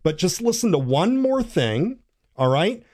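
A man's voice: high voice, big pitch swings, unhurried, a little mellow. A man hosting a talk, speaking earnestly and urging his listeners, with frequent restarts and fillers. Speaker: host. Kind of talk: talk on church language.

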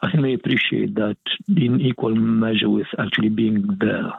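A husky, gravelly man, speaking reflectively and chuckling often as he talks. His voice comes through a tinny, phone-like line with a dry sound. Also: on the low side, steady tone, moderate pace, dry recording. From Romania. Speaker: monastic guest, pilgrimage leader. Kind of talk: casual interview conversation.

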